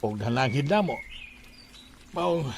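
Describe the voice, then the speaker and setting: Reserved older-generation father, Cebuano accent, creaky voice, unhurried, radio drama, faint background noise. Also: medium pitch, animated. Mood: calm, accepting. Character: reserved, consenting father of a grown daughter. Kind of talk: Bisaya radio drama acting.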